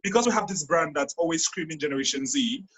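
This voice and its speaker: A man's voice: a little high, quick pace, a bit bright, husky, low-quality recording. A young man, co-founder of a youth brand, chatting loosely on a video call with many fillers. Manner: loose, filler-heavy talk. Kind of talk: casual video call chat.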